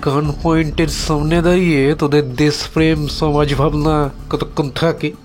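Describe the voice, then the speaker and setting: Man playing a sneering, high-voiced gangster henchman. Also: flat delivery, moderate pace, soft background music, natural voice. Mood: sneering threat. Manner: sneering, crude, slangy. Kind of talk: Bengali horror audio drama acting.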